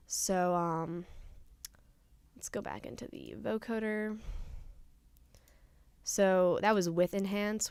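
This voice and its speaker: A young adult woman presenting an informal software tutorial, explaining things casually step by step. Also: lowish voice, clean tone, no echo. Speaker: tutorial presenter, studio intern.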